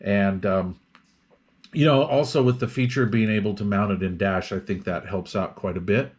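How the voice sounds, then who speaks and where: A man talking through an unscripted product review in a casual, explanatory way, often pausing mid-phrase as he goes. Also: fairly low pitch, a little mellow.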